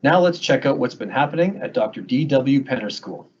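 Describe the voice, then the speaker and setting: A man's voice: medium pitch, lively intonation, fairly quick, lo-fi sound, a little hiss or hum. Formal man introducing segments. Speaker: town hall presenter.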